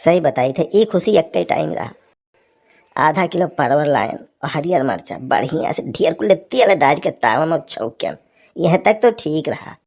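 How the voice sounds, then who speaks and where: A woman's voice: deep voice, expressive, a little slow, a little mellow, full character voice. A woman voicing a grumbling, teasing village husband in a cartoon comedy, with an Awadhi accent and a folksy, scolding manner. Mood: rueful, complaining.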